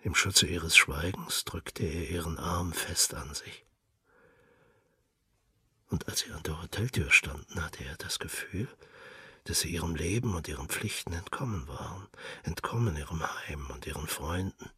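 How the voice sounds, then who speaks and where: A man with a very low, gravelly voice narrates a literary radio drama. His delivery is hushed and intimate, often near a whisper. The sound is dry and a little away from the microphone. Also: no echo, recorded from a distance.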